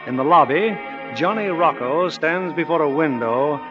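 A man's voice: on the high side, animated, moderate pace, close to an everyday voice. A man with an American accent narrating a 1940s radio drama in a dramatic scripted style. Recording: dull 1940s radio broadcast, music behind. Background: music.

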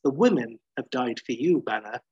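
A man's voice: mid-range voice, expressive, normal speed, a little mellow, husky, lo-fi sound. Man acting out a heated, taunting accuser, roomy sound. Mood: heated, accusing. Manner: hammering rhetorical questions, heated. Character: McGee, relentless accusing man, cruel and taunting.

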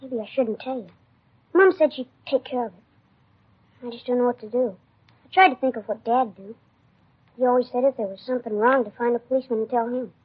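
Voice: mid-range voice, brisk, long pauses, breathy edge, natural voice. A performer playing a worried, earnest young boy in a 1950s American radio drama, hesitant and confiding. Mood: troubled. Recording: muffled old radio recording.

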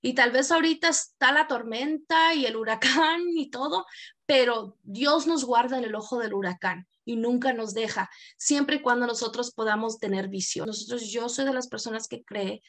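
A woman's voice: fairly high pitch, normal speed, fairly bright tone. A woman from El Salvador with a Mexican Spanish accent, telling her story earnestly as a podcast guest. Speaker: podcast guest, community leader. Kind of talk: personal testimony in an interview.

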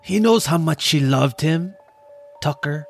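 A man's voice: a little high, lively intonation, unhurried, gravelly, faint music behind, pitched above the performer's own voice, full character voice. A man voicing a distraught, grieving teenage boy, pressing with pleading questions. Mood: anxious, upset.

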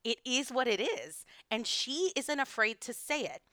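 A woman from Texas co-hosting a history podcast, telling the story in a lively, explanatory way. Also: high-pitched, unhurried, no echo, studio quality. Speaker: podcast co-host.

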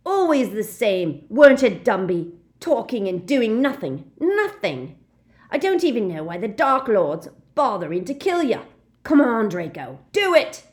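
A woman voicing a wheezy, giggling male thug who jeers and yells violently. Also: on the high side, big pitch swings, unhurried, pitched above the performer's own voice. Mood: yelling. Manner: jeering, yelling, violent.

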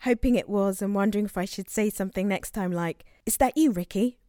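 A woman's voice: mid-range voice, brisk, mellow, no echo, close to an everyday voice. Actress as an earnest woman, dry close sound. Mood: wistful. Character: earnest, searching sister of a missing man. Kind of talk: naturalistic audio drama monologue and dialogue.

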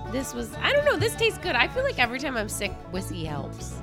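A woman's voice: high voice, huge pitch swings, a little fast, over music. A young adult woman, congested with the flu, co-hosting a podcast in frank, profane casual chat. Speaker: podcast co-host.

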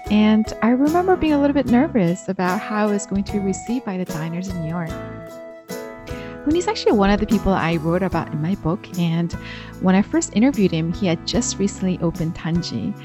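A woman speaks as a podcast host and interviewer. Her voice sits at an average pitch for a woman. She has a conversational, introductory way of presenting, with light music in the recording. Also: expressive, brisk.

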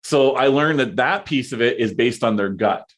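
Casual man, hesitant and rambling. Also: mid-range voice. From Canada. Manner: halting, many fillers and restarts. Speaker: podcast guest, entrepreneur. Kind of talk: casual conversation.

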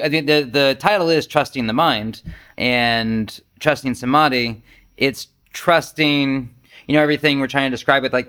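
A man's voice: medium pitch, fairly bright tone. Casual, self-deprecating man leading discussion. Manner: informal, self-deprecating anecdotes. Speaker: Zen group discussion leader.

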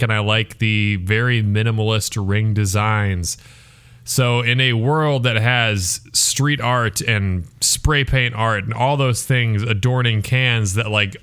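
A man's voice: medium pitch, fairly bright tone, clear, resonant tone. A man reviewing drinks in casual chat, talking in a wordy, analytical and descriptive way. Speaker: beer reviewer and show regular.

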